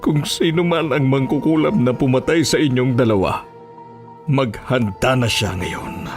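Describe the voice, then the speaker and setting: A man's voice: a little high, voice pushed up. A man voicing a grieving, vengeful widower with an impassioned, menacing delivery in a horror audio drama. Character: grieving, vengeful Filipino widower, a betrayed knife-sharpener. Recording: background music under the voice.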